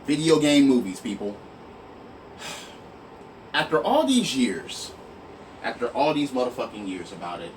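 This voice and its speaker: A man giving an exasperated, emphatic, profanity-laced opinion rant to his online viewers. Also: mid-range voice. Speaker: online video host. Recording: noisy, distant mic, room sound.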